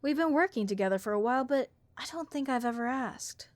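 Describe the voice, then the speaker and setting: A woman voicing a bored, snarky harpy copilot in a comedic audio roleplay, with a raspy vocal fry and overdramatic, deadpan complaints. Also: high-pitched, brisk, dry recording. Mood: chatty, teasing. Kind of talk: comedic audio roleplay monologue addressed to listener.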